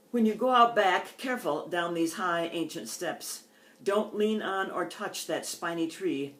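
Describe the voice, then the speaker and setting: Older woman poet in an echoey room. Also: low voice. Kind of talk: poetry reading with informal introductions. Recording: echoey, roomy sound.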